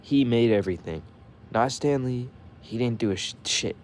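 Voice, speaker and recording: A man's voice: mid-range voice, lively intonation, moderate pace, slight background noise. A young man ranting casually to his viewers, profane and heated, saying bro constantly and stuttering when he gets mad. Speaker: vlogger.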